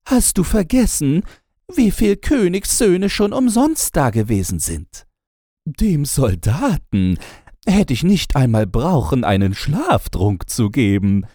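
A man's voice: on the high side, sing-song, slow, warm tone, dry recording, studio quality, pitched above the performer's own voice, exaggerated voice. A man voicing a haughty, mocking eldest princess in a fairy tale audiobook. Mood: scornful. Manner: scornful, dismissive.

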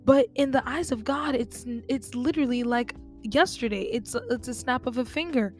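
Woman host, casual and chatty, speaking to listeners over soft music. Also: a little high, moderate pace. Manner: chatty, conversational, addresses listeners directly. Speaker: podcast host. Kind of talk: casual faith talk.

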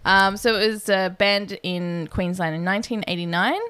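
A woman's voice: medium pitch, steady tone, moderate pace, a bit bright, smooth, no room sound. A woman with an Australian accent co-hosting a horror movie podcast, chatting in a wry, easy way and laughing often. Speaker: podcast co-host. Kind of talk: casual banter.

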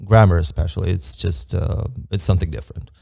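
A man's voice: deep voice, steady tone, fast talker, creaky, dark tone, slightly rough. A man from Moldova, a native Romanian speaker with a Moldovan accent, chatting casually as a guest, hesitant with many ums and easy laughs. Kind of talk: casual chat.

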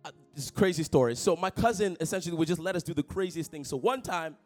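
Lively preacher man, slightly high. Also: quick pace, soft background music. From Toronto, Canada. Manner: animated, jokey, calls out to the congregation. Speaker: pastor. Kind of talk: sermon with personal storytelling.

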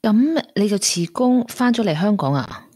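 A jealous girlfriend with a Hong Kong accent. Her voice has a medium pitch, and she speaks in a pointed, sceptical way suited to radio drama. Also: expressive, normal speed, mellow, breathy edge. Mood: curious, dry. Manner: pointed, questioning. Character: jealous, sceptical woman questioning her boyfriend. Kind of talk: Cantonese radio drama acting.

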